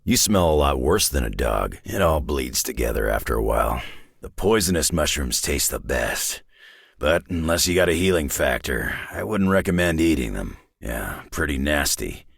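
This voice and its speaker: An actor playing a gruff, battle-worn older male mutant warrior in a video game, with a raspy, gravelly voice and dry, sardonic, clipped delivery. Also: rumbling low, big pitch swings, normal speed, dry recording, exaggerated voice. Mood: dry teasing. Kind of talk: video game character voice lines.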